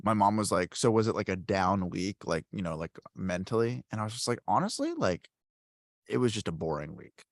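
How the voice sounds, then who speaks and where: A man's voice: on the low side, fairly quick, dry recording. Rambling man telling stories, dry sound. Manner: rambling, animated storytelling with many fillers. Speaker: podcast host. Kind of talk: casual chat.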